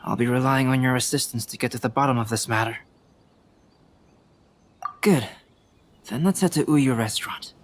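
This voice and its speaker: A man playing a calm, analytical young scholar in a video game, measured, thoughtful and formal. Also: mid-range voice, slight background noise, natural voice. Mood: calm, serious. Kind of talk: video game character acting.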